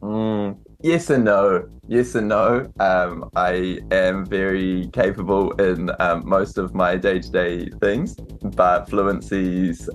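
A young man with a New Zealand accent talks in a low, deep register. He answers in a loose, conversational way, circling back with ums and restarted phrases as he thinks. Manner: hesitant, many ums and restarts. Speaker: author and guest. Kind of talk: casual interview answers.

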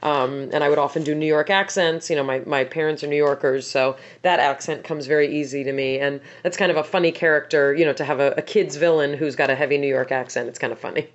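A woman with a rough, scratchy voice answering interview questions as a voice actor, chatty and full of fillers. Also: deep voice, steady tone, quick pace, hardly any pauses, dull audio.

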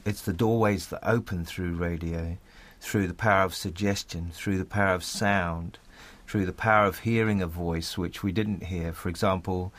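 A man's voice: low-pitched, fairly even intonation, normal speed, few pauses, fairly bright tone. A man gives a thoughtful interview. He is earnest and articulate, building his points through repeated phrases. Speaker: playwright.

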